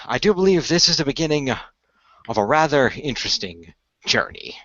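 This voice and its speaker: A man playing an earnest team leader who explains things in a measured way in a radio play, on dull audio. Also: medium pitch, big pitch swings, fairly bright tone, no room sound. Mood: hopeful, earnest. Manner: measured, expository, sighing.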